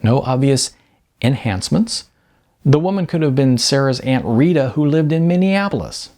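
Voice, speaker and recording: A man's voice: medium pitch, lively intonation, moderate pace, mellow. A man narrating as an author, reading from his own fiction. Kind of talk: scripted audiobook-style narration.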